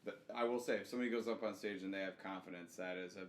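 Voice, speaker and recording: A man's voice: deep voice, steady tone, racing. A man chatting casually and informally as a podcast co-host, with slangy, jokey phrasing. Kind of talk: casual group chat recapping a reality show. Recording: roomy echo, faint background noise.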